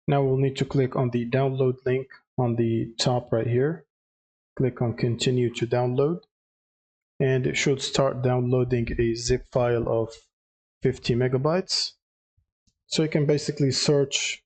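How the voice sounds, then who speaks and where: A man narrating a step-by-step software tutorial with hesitant, halting phrasing. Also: mid-range voice. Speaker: tutorial presenter.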